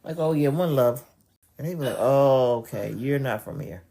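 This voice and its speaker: A woman from New York co-hosting a relaxed chat show, easygoing and quick to drop into slang. Her voice is very low, with a dark, mellow tone. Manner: laid-back, slips into street slang. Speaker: podcast co-host. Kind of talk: casual chat.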